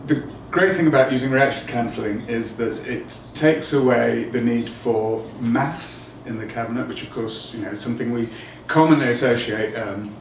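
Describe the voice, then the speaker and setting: A middle-aged man giving a technical explanation as an interviewed designer, halting and thinking aloud as he goes. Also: mid-range voice, gravelly. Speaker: loudspeaker designer being interviewed. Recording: roomy, distant mic, noticeable background noise.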